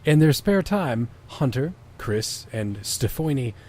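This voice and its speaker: A man's voice: mid-range voice, big pitch swings, takes it slowly, a little mellow. A man hosting a comic reading of fan fiction, mixing mock-grand narration with amused asides. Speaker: podcast host.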